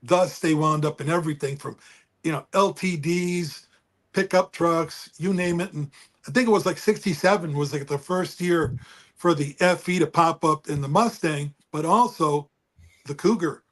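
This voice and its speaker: A man with a booming voice chatting casually and rambling about engines over a remote call. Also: moderate pace. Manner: rambling, folksy, restarts often. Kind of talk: casual car chat. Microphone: remote call with speaker feedback.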